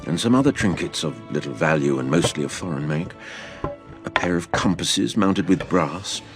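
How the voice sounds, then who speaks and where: A man playing a grave narrator who recounts his boyhood adventure in measured, literary storytelling for an audio drama. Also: sits low, animated, music in the background. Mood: grave, suspenseful.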